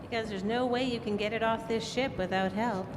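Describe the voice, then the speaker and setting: A woman's voice: on the high side, fairly even intonation, brisk, close to an everyday voice. A woman playing a shrewd, scheming double-crosser in an old-time radio drama, recorded in a hall. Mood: conspiratorial, frank. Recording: noisy, echoey live hall recording.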